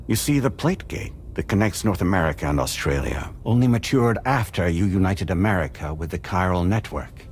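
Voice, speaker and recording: An actor playing a stately, courteous corporate president in a game, with a formal, deliberate, authoritative delivery. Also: male voice, low-pitched, lively intonation, slight background noise. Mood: courteous, assured. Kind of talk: scripted game cutscene speech.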